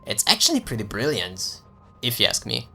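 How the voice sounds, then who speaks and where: A man playing a young American slacker friend in an audio story, sarcastic, slangy and excitable. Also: medium pitch, normal speed, vocal fry, exaggerated voice. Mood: dismissive, amused. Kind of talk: dramatized audio story dialogue.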